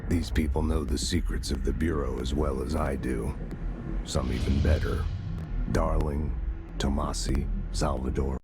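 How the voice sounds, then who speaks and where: A man's voice: very deep voice, steady tone, unhurried, loud music behind the voice. A man playing a brooding, commanding older agency director, delivering a grave, clipped monologue in a video game.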